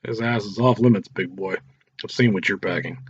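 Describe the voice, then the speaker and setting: A man's voice: fairly low pitch, a little fast, breathy edge. A man voicing a smirking, crude, wisecracking teenage boy in a read-aloud story. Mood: crude joking. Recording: roomy echo, dull lo-fi sound.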